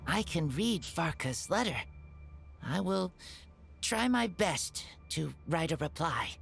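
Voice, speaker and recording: Low, halting, earnest boy. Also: lively intonation, gravelly, husky, soft background music, full character voice. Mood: earnest, hesitant. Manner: halting, simple short phrases. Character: earnest, halting, quiet wolf-raised boy. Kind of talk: video game voice acting.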